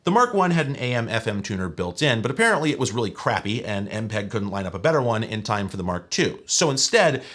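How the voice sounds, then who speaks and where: Man narrating with lively, swooping intonation. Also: fairly low pitch, fast talker, few pauses. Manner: wry, opinionated, emphatic phrasing. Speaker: video essay host and narrator. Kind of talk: scripted tech history narration.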